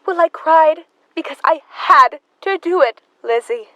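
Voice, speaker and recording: High-pitched, slow-speaking, unhinged sister acted by a young woman. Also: animated, a bit bright, dry recording, muffled recording, heavily acted. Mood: bitter, mournful. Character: Emma, devout, unhinged axe-murdering sister, adult woman. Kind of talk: high school audio drama acting.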